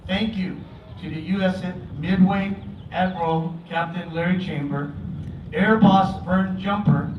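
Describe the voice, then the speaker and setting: A man's voice: high voice, fairly even intonation, a little slow. A man in his fifties who grew up in Vietnam and speaks Vietnamese as his first language, giving an earnest, grateful speech at a memorial ceremony. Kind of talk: prepared public speech. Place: aboard a museum ship, outdoors. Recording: echoey, distant, dull PA sound, noisy.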